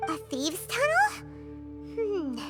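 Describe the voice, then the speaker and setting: A woman's voice: very high voice, wildly swooping pitch, slow, loud music behind the voice, full character voice. A woman voicing a tiny, chirpy childlike companion creature in a video game, who speaks of herself in the third person. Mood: chirpy worried.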